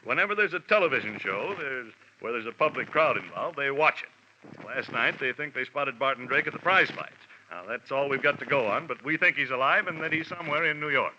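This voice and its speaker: An actor playing a self-important, businesslike insurance executive in a 1940s radio drama. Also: male voice, medium pitch, animated, brisk, pushed and bright. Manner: stiff, businesslike. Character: gruff, self-important male insurance executive, ex-army desk officer. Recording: muffled, faintly noisy old radio broadcast.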